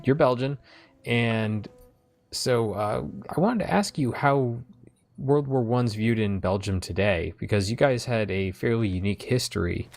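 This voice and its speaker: A man's voice: medium pitch, lively intonation, unhurried, darkish tone, soft background music. A man hosting a video game let's play with casual commentary.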